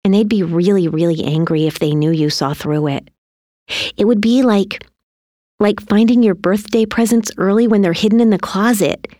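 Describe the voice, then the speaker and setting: Woman voicing a soft, gentle, apologetic mother. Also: mid-range voice, moderate pace, no room sound, clean studio sound, pitched above the performer's own voice, lightly acted. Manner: soft, gentle, hesitant explaining.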